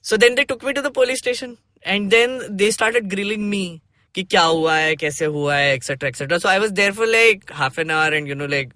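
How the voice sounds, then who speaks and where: A man's voice: sits high, rapid-fire, bright, forceful tone, dry recording. A man with an Indian accent, a podcast host chatting casually and animatedly, mixing Hindi phrases into English. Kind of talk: casual personal storytelling and discussion.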